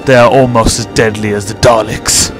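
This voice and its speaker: A man's voice: fairly low pitch, fairly even intonation, normal speed. Male narrator over prominent music. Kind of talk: scripted audiobook narration.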